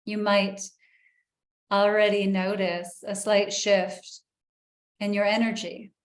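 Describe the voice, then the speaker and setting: A woman leading a guided breathing meditation in a soft, soothing way, with long pauses. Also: mid-range voice, roomy sound.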